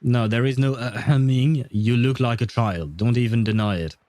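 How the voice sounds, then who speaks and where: A man's voice: mid-range voice, normal speed, darkish tone, no echo. A man casually commentating on a game stream, with a blunt, wry and often profane manner, close to the mic. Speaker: livestreamer.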